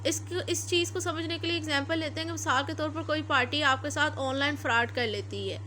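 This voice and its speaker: A woman's voice: high voice, fairly quick, pushed and bright. A woman with a Pakistani accent giving an explanatory lecture, didactic, restating key points as she goes. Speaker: law lecturer. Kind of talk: explanatory law lecture with examples. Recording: noticeable background noise.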